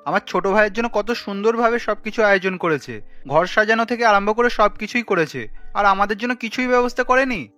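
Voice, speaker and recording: A man's voice: sits high, fairly quick, sharp tone, faint music behind. A man voicing a caring, apologetic young husband in a cartoon, earnest and consoling.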